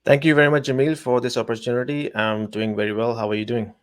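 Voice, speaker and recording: A man's voice: medium pitch, level tone, quick pace. A man in his forties from Pakistan, a telecom executive, giving thoughtful interview answers with many hesitations.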